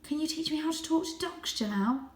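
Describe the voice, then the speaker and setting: A woman puts on a young male cat from a storybook, high in pitch and very earnest. He is naive yet proud of his pedigree and speaks politely. The sound is roomy and echoing. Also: a little fast, lightly acted. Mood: puzzled, unsure. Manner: polite, earnest, slightly haughty.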